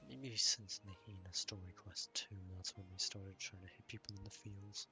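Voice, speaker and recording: A man's voice: sits low, fairly even intonation, normal speed, music in the background. A man giving casual, rambling video game commentary, half muttering to himself as he plays. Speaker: gaming streamer. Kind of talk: casual solo gameplay commentary.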